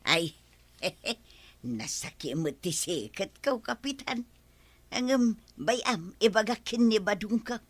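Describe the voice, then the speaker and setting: Woman acting drawling, animated village helper. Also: mid-range voice, slow, slightly rough, no echo. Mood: teasing. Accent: Filipino. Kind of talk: Filipino audio drama acting.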